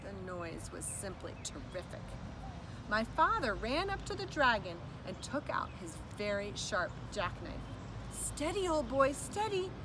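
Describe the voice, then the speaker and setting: A woman reading a children's book aloud as an expressive narrator. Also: medium pitch, unhurried. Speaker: children's story reader. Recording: distant mic with clear background noise.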